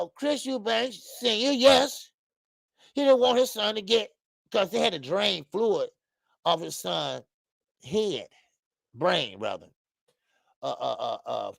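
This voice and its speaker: A man in his fifties hosting a live boxing talk stream, loud and profane, rambling and greeting viewers by name. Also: high voice, creaky, dry recording. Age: 50s. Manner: frequent laughs and stretches of filler. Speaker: boxing show host. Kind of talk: live-stream commentary chat.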